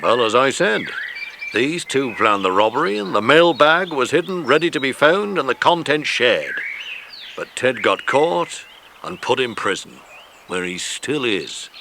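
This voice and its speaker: An actor playing a genial, authoritative police inspector in a children's audio drama. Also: male voice, mid-range voice, lively intonation, measured pace, creaky. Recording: faintly noisy cassette transfer.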